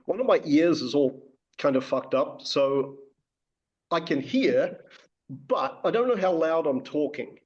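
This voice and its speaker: Wry man with a higher voice on a video call. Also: expressive, unhurried, darkish tone, slightly rough. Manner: wry, joking, conversational. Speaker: interviewer. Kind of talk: casual video call chat. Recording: dull lo-fi video call audio.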